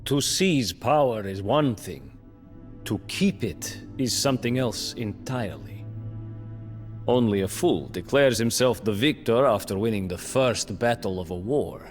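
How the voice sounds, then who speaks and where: An actor playing a bitter, proud imprisoned nobleman who recounts his downfall as haughty, theatrical, deliberate narration in a video game. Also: on the low side, animated. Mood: grave, proud. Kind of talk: dramatic storytelling narration for a strategy game cutscene. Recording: long reverberant hall, music underneath.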